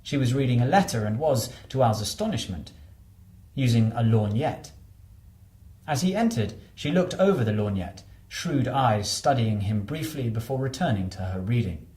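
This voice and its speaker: A man's voice: mid-range voice, expressive, normal speed, a little mellow, echoey room. A man reading a novel aloud as a scripted narration. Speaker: audiobook narrator.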